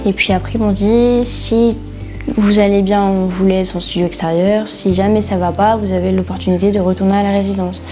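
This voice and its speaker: A woman's voice: mid-range voice, fairly even intonation, brisk, dark tone, soft background music. A woman in her twenties speaking hesitantly in a documentary interview, with many fillers. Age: 20s. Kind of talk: documentary testimony.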